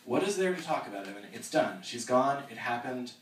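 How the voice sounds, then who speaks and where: A man's voice: mid-range voice, normal speed, a little hiss or hum, lightly acted. A man reading the lines of a guarded teenage boy who is defensive but grieving, pleading with rising urgency. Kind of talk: quoted dialogue in a reading of a novel. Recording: echoey room with a distant mic.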